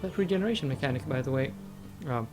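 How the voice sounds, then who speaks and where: A man commentates casually on a video game with a mid-pitched voice. He sounds musing and wry. Game music is clearly audible behind him. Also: lively intonation, fast. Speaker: let's play commentator.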